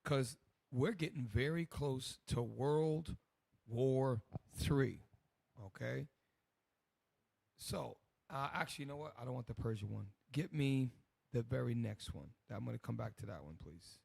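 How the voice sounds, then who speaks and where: A man, hoarse today, hosting a loose, rambling preaching commentary. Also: medium pitch, unhurried, a little mellow, no room sound. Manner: loose and rambling, many restarts. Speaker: host.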